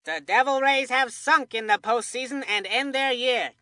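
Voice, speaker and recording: An actor voicing a theatrical cartoon baseball play-by-play commentator in a kids' video game, with folksy delivery and drawn-out emphasis. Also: mid-range voice, sharp tone, no echo, close to an everyday voice. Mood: somber, resigned. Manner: exclamatory, folksy old-fashioned exclamations, drawn-out emphasis. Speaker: sports commentator.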